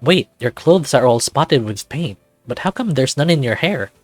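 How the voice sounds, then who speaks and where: A man's voice: medium pitch, lively intonation, moderate pace, no room sound, soft background music, natural voice. A man voicing a friendly, inquisitive young lifeguard, the hero of a story game. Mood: curious. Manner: chatty, inquisitive.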